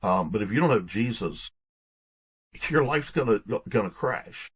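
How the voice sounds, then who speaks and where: A man's voice: lowish voice, animated, unhurried, breathy edge. A man speaking as a guest author in an interview, conversational, often restarting and repeating his words as he goes.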